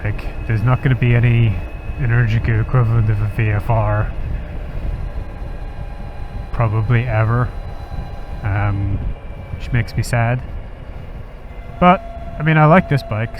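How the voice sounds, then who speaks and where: Muffled and set against heavy wind and vehicle noise, a man talks to himself in a casual riding monologue. He rambles and pauses as he thinks aloud, slightly sniffly, at an average male pitch. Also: steady tone. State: sniffly from cold air. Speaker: motorcycle vlogger. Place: outdoors, riding a motorcycle. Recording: muffled audio, loud wind and engine noise. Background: wind, road and motor vehicle noise.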